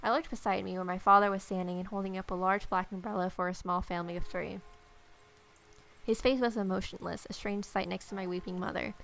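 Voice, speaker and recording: A woman's voice: mid-range voice, fairly even intonation, a little fast, vocal fry, a bit bright. A woman reading a visual novel aloud, with irreverent, sarcastic asides. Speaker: let's player.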